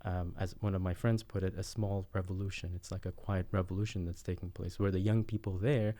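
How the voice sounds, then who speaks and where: A man's voice: deep voice, flat delivery, a little fast, mellow. A man speaking as a roundtable guest, earnest and often tagging his points with "right?". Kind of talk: roundtable discussion.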